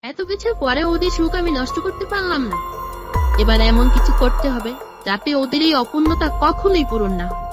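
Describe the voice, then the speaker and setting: A woman's voice: high-pitched, animated, fairly quick, music in the background. A woman playing a spiteful, scheming village aunt in a children's cartoon, sly and coaxing. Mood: spiteful, plotting. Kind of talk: Bengali children's cartoon voice acting.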